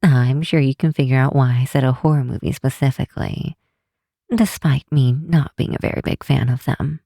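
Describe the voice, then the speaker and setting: Low, mellow, teasing vampire woman, acted by a woman. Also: steady tone, no room sound, studio quality, heavily acted. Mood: playful, teasing. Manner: soft, unhurried, teasing, sometimes breathy near-whisper. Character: affectionate, teasing old-world vampire woman, a scientist friend. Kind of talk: intimate ASMR roleplay, one side of a call.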